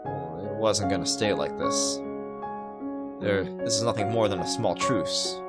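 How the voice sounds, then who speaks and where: A man's voice: fairly low pitch, a little slow. A man reading a visual novel aloud and adding his own comments, sometimes stumbling over the words. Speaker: video game playthrough host. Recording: loud piano music behind. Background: piano game music.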